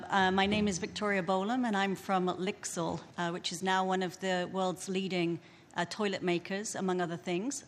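A woman in a large conference hall making a polite, halting comment from the floor, with many fillers. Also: on the low side, a little hiss or hum. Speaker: conference participant from a toilet maker. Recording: long hall reverb with faint ambience.

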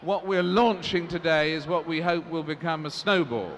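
A man's voice: fairly high pitch, a bit bright. Formal man in a reverberant hall. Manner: formal and measured, with many restarts and fillers. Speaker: press conference moderator. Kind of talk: press conference remarks. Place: large assembly hall. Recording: reverberant hall, faint noise.

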